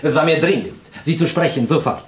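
An actor playing a nervous, theatrical pianist in his twenties in a radio play, with a foreign accent and gushing, halting speech. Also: on the high side, slight background noise, lightly acted. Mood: agitated, gushing. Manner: gushing, halting, melodramatic, inverted word order.

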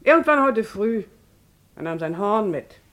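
A woman's voice: medium pitch, very animated, measured pace. Suspicious, grudging landlady. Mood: grudging, exasperated. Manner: grudging, offhand. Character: gruff, suspicious, unhelpful landlord of a rooming house. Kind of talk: German crime radio play acting.

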